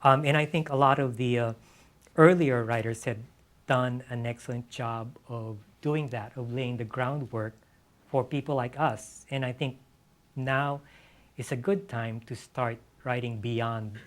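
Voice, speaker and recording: Male novelist on a panel, mid-pitched, reflective, halting delivery with repeated words. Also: steady tone. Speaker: novelist being interviewed on a panel.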